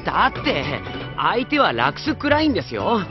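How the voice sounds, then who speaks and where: An actor playing a hot-headed, sulky young junior soldier in a Japanese anime audio drama. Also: male voice, sits high, huge pitch swings, moderate pace. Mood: defensive, whiny. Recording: dull lo-fi audio under music.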